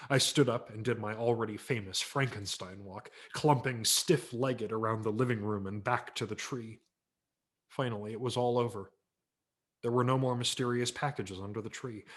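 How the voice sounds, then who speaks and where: A man narrating a story dramatically as an audiobook reader, with wry, theatrical emphasis. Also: medium pitch.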